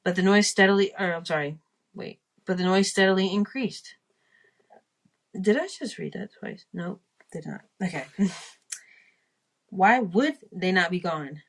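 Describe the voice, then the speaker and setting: A woman with a fairly low voice reads a classic story aloud at a very slow pace. She is careful and a little halting, sounding out difficult words piece by piece. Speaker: reader of a storytime podcast.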